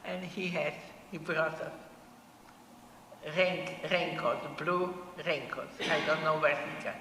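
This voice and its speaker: A woman, giving her personal testimony to an audience in halting, short, plain phrases. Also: low voice, fairly even intonation. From Poland. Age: 80s. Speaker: Holocaust survivor speaker. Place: synagogue hall. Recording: echoey hall, faint room noise.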